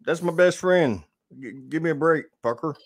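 Male talker, slightly high voice, blunt, profane, repetitive phrasing, casual. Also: moderate pace. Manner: blunt, profane, repeats words. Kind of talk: casual chat.

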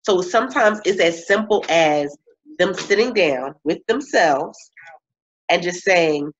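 Animated woman in her thirties, video call. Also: fairly low pitch, slight background noise. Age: 30s. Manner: animated, laughs often, drawn-out emphasis. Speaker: coach and speaker. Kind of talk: casual interview chat. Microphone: webcam on video call. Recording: slightly muffled video-call audio.

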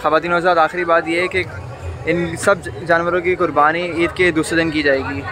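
A young man with a Pakistani accent hosts a street vlog in a high voice. He talks very quickly and chattily, addressing his viewers and repeating phrases for emphasis. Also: flat delivery, a crowd in the background. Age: 20s. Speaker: vlogger and host. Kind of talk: enthusiastic vlog commentary and street interviewing. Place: outdoors at night in a crowded tented cattle display.

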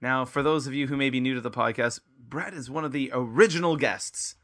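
A man hosting a podcast with a bright, forceful tone and an upbeat, chatty manner. He keeps calling out to his listeners and pitches his show with plain enthusiasm. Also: a little fast. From Canada. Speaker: podcast host. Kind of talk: casual podcast intro and interview. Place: his home in Kobe, Japan.

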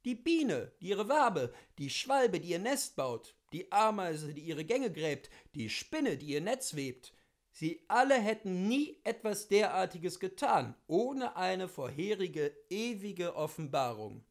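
Male narrator for literary audiobook: high voice, very lively intonation, theatrical declamatory phrasing. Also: slow. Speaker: reader of literature. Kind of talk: expressive literary audiobook reading.

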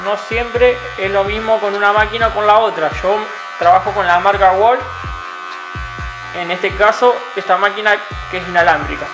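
Calm young barber explaining steps. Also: male voice, high-pitched, moderate pace. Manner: calm, instructive, repeats steps patiently. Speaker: barber instructor. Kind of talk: step-by-step tutorial narration. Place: small barbershop. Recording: roomy sound with music behind. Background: background music and clipper buzz.

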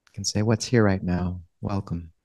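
A man leading a guided meditation as a teacher, speaking gently and unhurriedly with long pauses. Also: low voice, steady tone, no echo.

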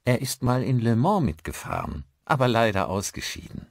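A man acts out a friendly village pastor in an audiobook. He is courteous and talkative, with very lively intonation in a dry recording. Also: medium pitch, moderate pace, creaky, dry recording. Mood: chatty. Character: friendly, chatty village pastor, male, married.

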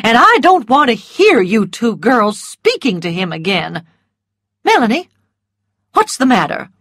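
A woman's voice: fairly high pitch, big pitch swings, measured pace, fairly bright tone, slightly rough, no room sound, clean studio sound, pitched above the performer's own voice, full character voice. A scolding, bossy society matron, read by a woman. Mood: indignant. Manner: scolding, commanding.

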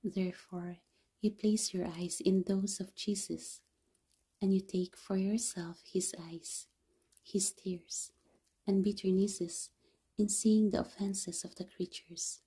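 A woman reading a devotional meditation in a soft, hushed, half-whispered voice. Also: lowish voice, steady tone, slow, husky. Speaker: devotional reader.

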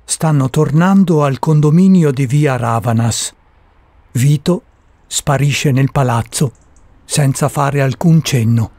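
A man narrating a scripted radio drama in a solemn, measured way, with dramatic pauses. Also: mid-range voice, warm tone, no room sound.

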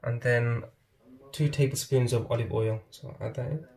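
A man hosting a home cooking channel, talking viewers through a recipe step by step in a steady, instructive way from his kitchen. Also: medium pitch, moderate pace, vocal fry. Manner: steady, instructive, often addresses viewers as guys.

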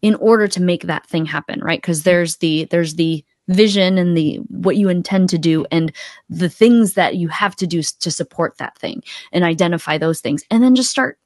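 A woman's voice: fairly low pitch, normal speed, a little mellow, dry recording, clean studio sound. A woman in her thirties, a coach being interviewed on a podcast, explaining casually step by step. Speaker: podcast guest, accountability coach. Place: room at home, video call. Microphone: close desktop podcast mic.

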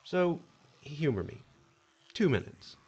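A man's voice: a little high, big pitch swings, slow pace, darkish tone, husky, exaggerated voice. Dull recording, male afterlife agent acted by a man, swooping intonation, persuasive, formal, reassuring, audio drama. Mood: conciliatory. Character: calm, persuasive afterlife agent collecting the dead.

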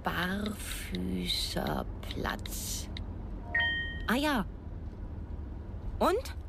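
A curious, slightly awkward young woman driver in a radio play. She talks slowly at a middling pitch, with clear vehicle noise around her. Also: wildly swooping pitch, full character voice. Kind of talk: naturalistic radio drama dialogue.

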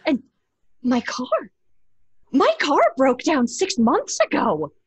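A woman playing an anxious, scolding but level-headed young daughter, urgent and sometimes hushed, in a horror audio drama. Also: high voice, very animated, takes it slowly, slightly rough, no echo, heavily acted. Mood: disbelieving. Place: home, on a video call.

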